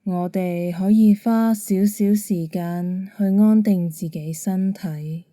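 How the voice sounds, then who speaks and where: Slow, very mellow woman guiding meditation. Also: mid-range voice, no room sound, clean studio sound. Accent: Hong Kong Cantonese. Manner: calm, soothing, gentle instruction. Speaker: meditation guide. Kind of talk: guided bedtime meditation narration.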